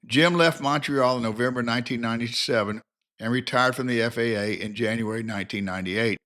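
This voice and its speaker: A man's voice: mid-range voice, a bit bright. Older man reading formal documentary narration. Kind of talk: scripted biographical narration.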